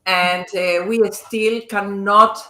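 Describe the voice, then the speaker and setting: A woman's voice: medium pitch, steady tone, takes it slowly, pushed and bright, echoey room. A woman hosting an interview, politely asking long, winding questions. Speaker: interview host. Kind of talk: interview over video call.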